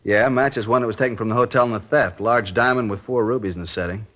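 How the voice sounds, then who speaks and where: An actor playing a methodical American detective sergeant in a 1950s radio crime drama, clipped and matter-of-fact. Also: male voice, medium pitch, fast, fairly bright tone, natural voice. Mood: measured, serious. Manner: clipped, matter-of-fact, drops subjects from sentences. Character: plainspoken, methodical male detective sergeant from out of town. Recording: dull vintage radio recording.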